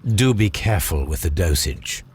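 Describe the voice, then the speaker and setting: An actor playing a composed, probing English detective in a video game, with a formal, measured, politely clipped manner. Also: male voice, low-pitched, expressive, slightly rough, exaggerated voice. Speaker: detective questioning suspects. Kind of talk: scripted video game acting.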